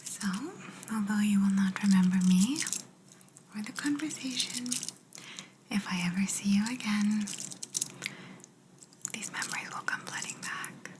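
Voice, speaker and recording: A woman playing a soothing, softly bossy alien abductor in a hushed, breathy ASMR whisper roleplay. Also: mid-range voice, background noise, lightly acted. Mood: soothing, faintly menacing. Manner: slow, soothing, hushed, matter-of-fact.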